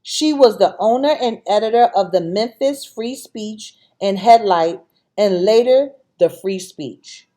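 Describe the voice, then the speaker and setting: A woman hosting a read-aloud for children, reading deliberately and speaking directly to her young listeners. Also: medium pitch, mellow.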